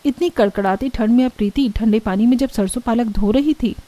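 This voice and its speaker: Mellow high-voiced woman storyteller. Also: brisk. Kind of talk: scripted cartoon story narration.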